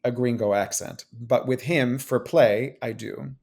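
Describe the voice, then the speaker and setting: Male guest, gravelly mid-pitched voice, lively casual interview talk, repeats phrases. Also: unhurried. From Cuban-American. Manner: animated, repeats phrases and restarts often. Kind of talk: casual conversation. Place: New York City.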